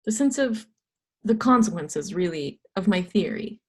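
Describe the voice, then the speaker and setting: A woman's voice: medium pitch, expressive, measured pace, darkish tone, close to an everyday voice. A woman playing a reluctant, ageing astronomer mentor, hesitant, terse and evasive, in a readers theatre stage reading.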